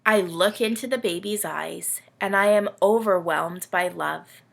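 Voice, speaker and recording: A woman voicing a melodramatic, vain, self-pitying male camel in a children's storybook, with drawn-out, theatrical delivery. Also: on the low side, gravelly. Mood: awed, tender.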